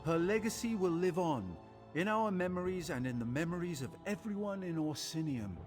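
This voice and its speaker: A man's voice: high voice, animated, moderate pace. An actor playing a courteous, wry male former foe in a video game, with a polite and dryly droll manner. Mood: reverent. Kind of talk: scripted video game dialogue. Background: game music.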